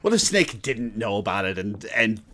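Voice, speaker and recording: A man's voice: fairly low pitch, very animated, normal speed, a bit bright. A man streaming casual live reaction commentary as he watches game cutscenes.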